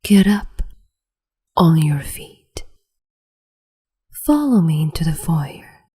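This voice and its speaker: A woman playing a breathy, whispering cruel empress. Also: deep voice, sing-song, dark tone, full character voice. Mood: silky menace. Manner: slow, mocking and condescending. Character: cruel capricious woman empress, imperious and teasing. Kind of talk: whispered ASMR roleplay.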